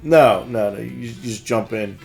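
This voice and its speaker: Male host, mid-pitched, casual and easygoing announcement read with hesitant banter, light music underneath. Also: a little slow, vocal fry, a little mellow. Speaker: host of a game shop news show.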